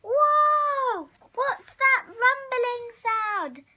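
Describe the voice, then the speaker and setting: A child reading a picture storybook aloud expressively, acting out the hero and halting over some words. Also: very high voice, lively intonation, sharp tone. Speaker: story reader.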